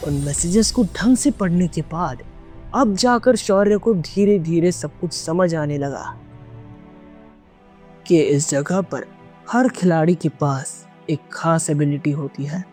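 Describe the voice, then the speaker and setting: A man's voice: a little high, takes it slowly, darkish tone, faint music behind. A man narrating a scripted dramatic audio story as an audiobook narrator.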